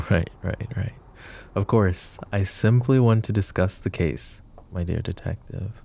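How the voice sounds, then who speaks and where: A man playing a suave, possessive mafia boss in an intimate roleplay, speaking with mock courtesy and a teasing drawl in a low, gravelly voice. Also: measured pace, dark tone, a little hiss or hum. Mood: courteous, coaxing. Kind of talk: roleplay ASMR acting, intimate address to listener.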